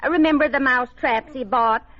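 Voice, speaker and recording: An actress playing a loyal, sassy household maid in an old radio sitcom, wry and homely. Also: female voice, high voice, moderate pace, close to an everyday voice. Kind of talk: 1950s radio sitcom acting before a live audience. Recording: muffled noisy old radio recording, loud audience.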